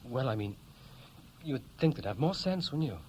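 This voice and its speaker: A man's voice: mid-range voice, sing-song, moderate pace, a little mellow, lightly acted. An actor playing a restless, evasive young Welshman in a 1960s radio play, speaking in a hesitant, colloquial way. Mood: uneasy, evasive. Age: young adult. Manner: hesitant, colloquial, self-correcting. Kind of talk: 1960s radio play acting, naturalistic dialogue. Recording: noisy vintage 1960s radio recording.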